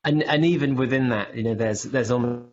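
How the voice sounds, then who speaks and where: A man's voice: medium pitch, a little fast, dull audio. A British man hosting a podcast, asking long rambling questions in a casual way. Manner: rambling, loosely built questions. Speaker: podcast host.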